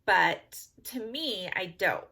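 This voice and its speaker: A woman's voice: medium pitch, lively intonation, slow pace, vocal fry, sharp tone, breathy edge. A woman from California with a Californian accent, chatting casually to camera in a giggly, rambling way. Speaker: vlogger.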